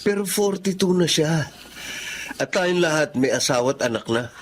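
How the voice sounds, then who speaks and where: An actor with a Filipino accent playing an easygoing younger brother in a family radio drama. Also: male voice, on the high side, expressive, normal speed. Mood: defensive. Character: easygoing, cheerful younger brother, married man. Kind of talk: classic radio drama acting.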